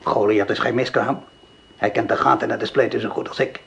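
An actor playing a gruff, blunt accomplice in a radio play. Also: male voice, mid-range voice, steady tone, brisk, a bit bright, slight background noise. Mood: calculating. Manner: blunt, down-to-earth. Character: gruff practical male accomplice.

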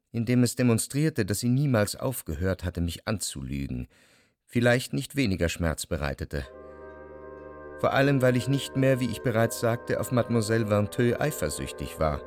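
Actor as introspective gentleman, very lively intonation, dry sound. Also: medium pitch, no echo, close to an everyday voice. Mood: brooding. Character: jealous, introspective gentleman narrator, tender but analytical. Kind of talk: literary radio drama narration.

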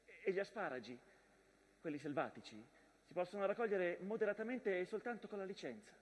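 Brief: male actor as weary, battle-scarred landscape telling of trenches, stage monologue, higher voice, long hall reverb. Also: fairly quick, natural voice. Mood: wry. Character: the war-scarred land itself, weary narrator of trenches. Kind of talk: theatrical monologue.

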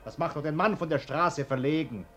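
An actor playing a fussy, nervous well-off householder who gives bossy orders in a German radio play. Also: male voice, a little high, fairly quick, a bit bright, soft background music, natural voice. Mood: fussy, agitated.

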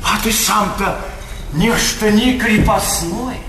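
High-voiced breezy clerk, noisy hall. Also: male voice, takes it slowly. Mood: chatty, smug. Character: breezy, self-important government clerk and fixer, a fashionable snob. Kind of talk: theatrical stage comedy acting. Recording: reverberant stage hall, noisy old recording.

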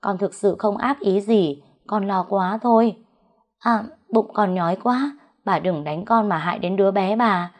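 A woman's voice: mid-range voice, normal speed, darkish tone, close to an everyday voice. A woman voicing a scheming young pregnant woman who whines, stammers and pleads tearfully, in a story narration. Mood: pleading. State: crying.

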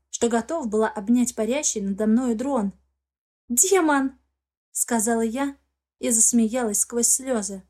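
A woman narrating a first-person novel as an expressive, scripted audiobook reading. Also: a little high.

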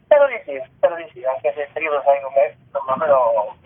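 A man's voice: mid-range voice, sing-song, quick pace, breathy edge, narrow, tinny audio. A man, author and theatre director, talking hesitantly on the radio about staging his show. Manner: hesitant, searching for words. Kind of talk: radio interview.

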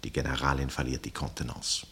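Really deep guy narrating a radio drama, composed and detached storyteller. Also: steady tone, quick pace, bright, forceful tone, a little hiss or hum, full character voice. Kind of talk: scripted literary radio play narration.

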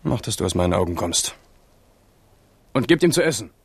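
An actor playing a proud, impulsive young king with a sharp tongue and a commanding, sardonic manner in a radio play. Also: lowish voice, very animated, fairly quick, vocal fry, breathy edge, no echo, exaggerated voice. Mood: stern, commanding. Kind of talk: 1970s German radio play acting.

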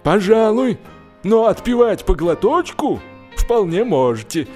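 A high-pitched old lock keeper. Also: male voice, very animated, measured pace, mellow, soft background music. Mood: dryly mocking. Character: old male lock keeper, cool and gruff, easily exasperated.

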